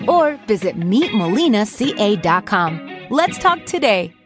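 A woman's voice: mid-range voice, very animated, a little slow, over music. An American woman reading scripted advertisement narration as a commercial voice-over.

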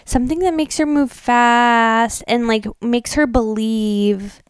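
Female podcast co-host, American, smooth and clear, informal slangy storytelling, dry recording. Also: on the high side, steady tone, slow pace, no room sound. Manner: informal, peppers talk with "like" and emphatic slang. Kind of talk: casual chatty retelling and commentary.

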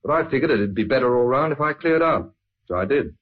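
An actor playing a defensive ship's steward under suspicion in an old-time radio crime drama. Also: male voice, medium pitch, lively intonation, fairly quick, lightly acted. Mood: uneasy, self-justifying. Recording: muffled old radio recording.

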